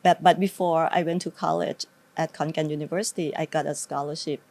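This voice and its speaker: A woman's voice: low-pitched, steady tone, a little fast, darkish tone. A middle-aged woman from northeast Thailand, a native Lao speaker with a Thai accent, giving conversational interview answers.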